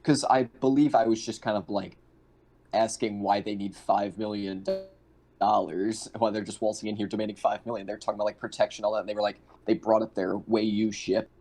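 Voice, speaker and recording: A man's voice: fairly low pitch, level tone, a little fast, warm tone. A man chatting casually as a player in a tabletop roleplaying game. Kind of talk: casual chat. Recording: faint background noise.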